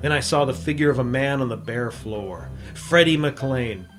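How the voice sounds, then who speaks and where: An actor playing a terse, wry adventurer and reporter in an old-time radio noir drama, clipped and deadpan. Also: male voice, mid-range voice, normal speed, faint music behind, lightly acted. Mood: deadpan narration. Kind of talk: old-time radio noir acting and first-person narration.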